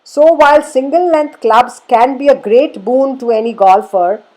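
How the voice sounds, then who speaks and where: A woman giving a scripted explanatory narration as a golf instructor, in a formal, measured manner with rhetorical questions. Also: high voice, dark tone, poor audio.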